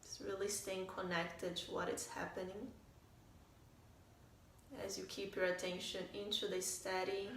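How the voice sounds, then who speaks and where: A woman leading a guided meditation as a teacher, speaking in a calm, gentle way with unhurried phrasing and pauses. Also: mid-range voice. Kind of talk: guided meditation, soft instruction. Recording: roomy, distant mic, faint noise.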